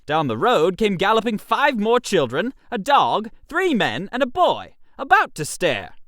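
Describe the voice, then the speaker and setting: A man reads a comic story as narrator, with theatrical expression. His pitch swings widely and sits fairly high for a man, and the recording is dry and close. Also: wildly swooping pitch, measured pace, a bit bright, dry recording. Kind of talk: animated first-person comic story narration.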